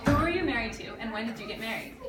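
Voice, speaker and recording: A woman reading scripted interview questions for a school project, in a soft, careful way. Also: a little high, expressive, racing. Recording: echoey room, far from the mic, music behind.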